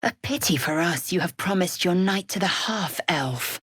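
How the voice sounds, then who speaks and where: A woman's voice: on the low side, animated, moderate pace, a bit bright, breathy edge, dry recording, clean studio sound. An actress playing a fierce, proud young alien warrior woman in a fantasy video game, speaking in an imperious, clipped, scornful way with formal phrasing. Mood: scornful, impatient.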